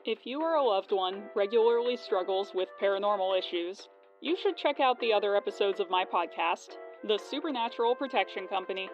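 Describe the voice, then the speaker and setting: A woman's voice: medium pitch, animated, brisk, highs cut off. A woman podcast host reading scripted promotional narration and credits. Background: music.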